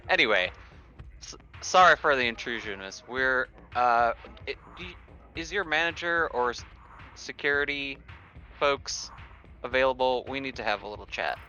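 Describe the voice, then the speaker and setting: A man's voice: medium pitch, expressive, moderate pace, sharp tone. A man playing a tabletop roleplaying game, speaking casually in character, heard over dull voice-chat audio. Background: background music.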